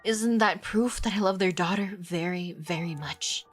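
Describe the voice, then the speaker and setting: A woman voicing a gentle, devoted young man who is the love interest in a romance game, speaking softly and tenderly. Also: fairly low pitch, moderate pace, faint music behind, close to an everyday voice.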